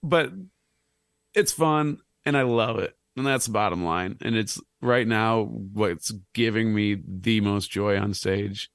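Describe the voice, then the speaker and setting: A man in his thirties with a low, slightly raspy voice, chatting casually on a podcast as a guest, rambling and self-deprecating. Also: takes it slowly, no room sound, clean studio sound. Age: 30s. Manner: rambling, self-deprecating, animated when joking. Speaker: clown and musician guest.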